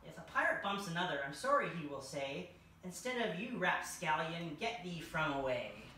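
A young adult hosts a children's story time, reading aloud in a bright voice. The recording is distant and very echoey, with faint noise behind it. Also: mid-range voice, big pitch swings, moderate pace. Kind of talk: read-aloud children's storytelling in costume as a king. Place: large furnished room indoors. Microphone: distant camera microphone.

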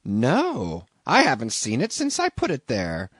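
A man voicing a bustling middle-aged landlady who scolds and frets in a shrill, exclamatory way. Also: wildly swooping pitch, a little slow, fairly bright tone, breathy edge, dry recording, studio quality, exaggerated voice. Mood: frantic.

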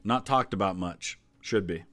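A man's voice: sits low, normal speed, a bit bright, dry recording, soft background music. An American man in his forties giving casual, conversational commentary as a history channel host. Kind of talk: casual reaction commentary to a quiz.

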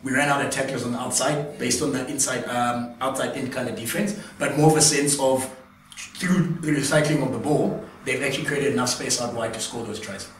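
Measured analytical man, roomy sound. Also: mid-range voice. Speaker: panelist. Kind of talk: casual studio sports analysis. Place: small studio room, indoors. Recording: roomy sound from a distance, faint noise.

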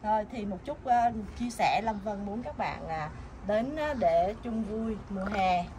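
A woman's voice: medium pitch, animated, measured pace, a little mellow. A middle-aged woman chatting enthusiastically as she promotes a community event in a casual vlog. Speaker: vlogger announcing a community event. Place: outdoors at a building's glass entrance. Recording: noisy outdoor camera audio.

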